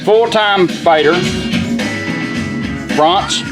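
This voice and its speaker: A man with a slightly high voice walks through an informal product demo. He sounds folksy and instructional, explaining things step by step. Guitar music plays clearly behind him. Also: extremely slow. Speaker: product demonstrator. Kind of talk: informal hands-on product demonstration.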